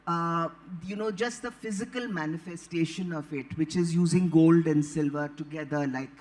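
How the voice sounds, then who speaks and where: An elderly woman with an Indian accent speaks as an author on a live panel. She tells her stories in a deliberate, articulate manner, often wandering into side remarks before returning to the point. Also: deep voice, a little mellow. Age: late 70s. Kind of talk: anecdotal storytelling at a live panel.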